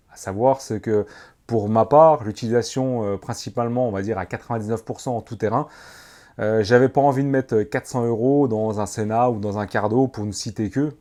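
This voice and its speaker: A man presents gear in a casual vlog style. His voice sits at a medium pitch. He speaks informally, with slang, addressing the viewer directly and familiarly. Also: warm tone. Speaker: motorcycle vlogger reviewing gear. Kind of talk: casual product review to camera.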